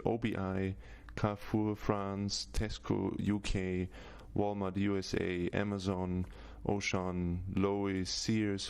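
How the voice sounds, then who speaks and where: A middle-aged man from Austria with an Austrian German accent, giving a scripted introduction to his course in a steady, list-like way. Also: fairly low pitch, creaky. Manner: steady, list-like delivery with frequent "Now" openers. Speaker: course instructor.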